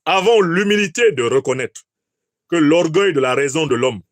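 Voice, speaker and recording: A man speaks in a fairly high voice, declaiming his analysis like a speech. He leans on dramatic pauses and builds long runs of rhetorical questions. The recording is dry, with no room sound. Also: expressive, dry recording. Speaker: political commentator.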